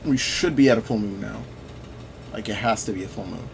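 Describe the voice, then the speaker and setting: A man's voice: on the low side, fairly quick, vocal fry, slight background noise. A man giving casual gameplay commentary, rambling and thinking aloud as he plays. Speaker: gaming video host.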